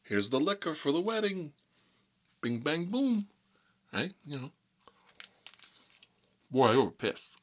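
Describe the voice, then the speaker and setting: A man's voice: on the high side, big pitch swings, slow, long pauses, pitched above the performer's own voice, exaggerated voice. A man playing a talkative, hot-headed mob boss in an improvised ASMR comedy monologue, rambling and repeating himself in streetwise slang. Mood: aggrieved, boastful.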